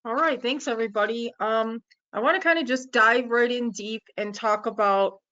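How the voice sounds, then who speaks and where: A woman's voice: on the high side, moderate pace, bright, forceful tone, dull audio. A woman facilitating a panel discussion in a loose, easygoing way, with lots of "kinda" and "um". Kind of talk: moderating a panel.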